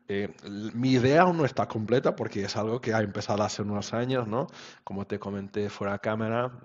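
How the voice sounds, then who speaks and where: A low-pitched man talks through his answers in an interview, restarting phrases and checking in with little tag questions. His voice comes over a video call with dull, lo-fi sound. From Portugal. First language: Portuguese. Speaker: guest, web standards expert.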